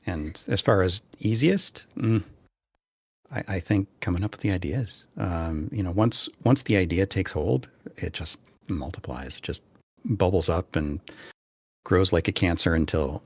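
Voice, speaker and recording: A man speaking as a podcast panelist on an online call, casual but deliberate, pausing between phrases. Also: low voice, expressive, vocal fry, slightly rough, dry recording. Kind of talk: measured casual chat. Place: online voice call, dry recording.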